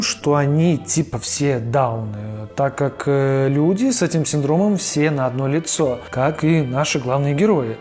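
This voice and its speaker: A man's voice: a little high, darkish tone. A man narrating a casual cartoon review in a chatty, slangy, opinionated way. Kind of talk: casual video-essay narration.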